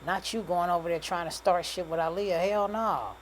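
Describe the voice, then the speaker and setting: A woman's voice: fairly low pitch, fairly even intonation, moderate pace, a little hiss or hum, lightly acted. Woman voicing a cocky, blunt young male rapper. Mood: irritated, defensive. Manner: street slang, dismissive. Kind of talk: audiobook character dialogue.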